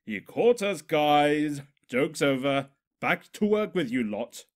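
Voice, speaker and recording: A man's voice: a little high, big pitch swings, slow, voice pushed up. A man voicing a cheerful, smug male pony with a mocking streak in a comic storybook reading. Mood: sneering, gleeful. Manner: cheerful, showy, nonchalant, mocking. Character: chirpy smug male pony, secretly a gleeful demon. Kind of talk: comedic storybook character voice.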